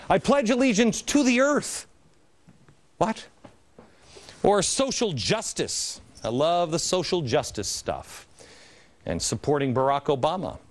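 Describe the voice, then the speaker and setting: A man in his forties delivers opinion commentary on a TV set, indignant and sarcastic. His pitch swings widely and sits fairly high for a man. He talks like he is putting on a show. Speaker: TV host. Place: large TV studio set. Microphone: studio mic, standing at camera.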